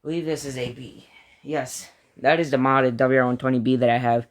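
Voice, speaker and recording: A man's voice: medium pitch, level tone, fairly quick. A man giving casual, opinionated commentary as a hobbyist ranking things, in an informal and slightly self-deprecating way. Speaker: hobbyist video creator.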